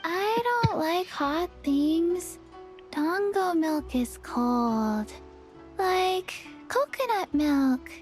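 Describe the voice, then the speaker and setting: Very slow-talking small child. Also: female voice, very high-pitched, animated, faint music behind, exaggerated voice. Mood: flat, gentle. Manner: terse, halting short phrases. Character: quiet, deadpan small child of few words. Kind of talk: video game character acting.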